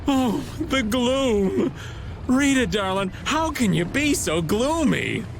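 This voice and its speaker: A man's voice: extremely high pitch, very animated, takes it slowly, creaky, noisy background, heavily acted. A laid-back, teasing older man. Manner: easygoing, teasing drawl. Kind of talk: video game voice acting. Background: game music.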